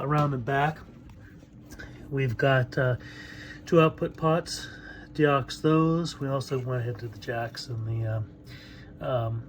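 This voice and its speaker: A middle-aged man giving a casual hands-on demonstration of equipment he repairs, speaking off the cuff with hesitant pauses as he works. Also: medium pitch, slight background noise. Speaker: hobbyist audio equipment repairer demonstrating a tape deck.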